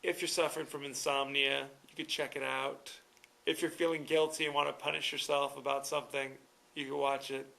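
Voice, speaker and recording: A man's voice: medium pitch, level tone, fairly quick, creaky, bright, forceful tone, rough recording. A man hosting a movie podcast alone, talking casually in a wry, rambling way with chuckling asides. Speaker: podcast host. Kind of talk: casual solo movie review.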